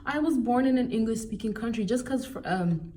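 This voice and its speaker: A young woman from Cameroon giving casual, animated job-hunting advice in a vlog, with exasperated asides. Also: on the high side, normal speed, far from the mic, a little hiss or hum. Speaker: vlogger.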